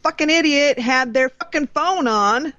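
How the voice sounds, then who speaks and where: A woman's voice: high voice, measured pace, pushed and bright, dull audio. A woman co-hosting a podcast in casual, informal, sweary chat. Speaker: podcast co-host.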